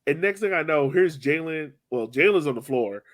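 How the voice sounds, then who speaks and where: A man's voice: fairly high pitch, expressive, moderate pace. Man chatting casually, slangy and loose. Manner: informal, slangy, filler-heavy with frequent "like". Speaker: soccer podcast co-host. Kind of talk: casual chat, sports match recap.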